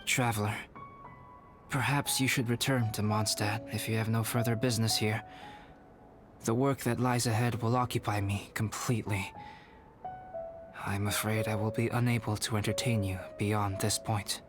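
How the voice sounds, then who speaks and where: Calm, formal young scholarly alchemist played by a man. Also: lowish voice, lightly acted. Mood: grave, reserved. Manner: measured, formal, contemplative. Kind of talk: scripted video game character acting. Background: music.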